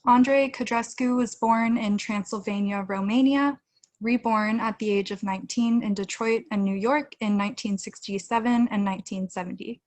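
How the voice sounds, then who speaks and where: A young woman hosting an online event, reading a formal introduction in a measured way over a webcam video call from a room at home. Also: a little high, steady tone, darkish tone, dry recording. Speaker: event host. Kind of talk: read introduction.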